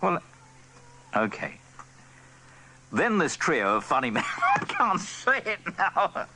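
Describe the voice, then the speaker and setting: A man's voice: sits high, huge pitch swings, takes it slowly, pushed and bright, slight background noise, poor audio. A man rehearsing a scripted line, stumbling and a little exasperated.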